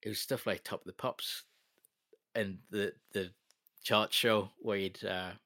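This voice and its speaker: A man's voice: fairly low pitch, fairly even intonation, measured pace, pushed and bright, dry recording, clean studio sound. A man, chatting as an interview guest, rambling and self-deprecating with lots of fillers. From North East England. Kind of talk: casual interview chat.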